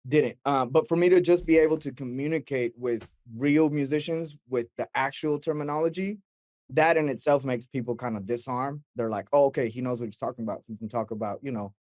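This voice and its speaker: A man's voice: medium pitch, a little fast, no echo. Chatty man from Mexico, dry sound. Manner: relaxed storytelling, animated quoting with filler words. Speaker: guest, mix engineer. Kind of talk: casual interview chat.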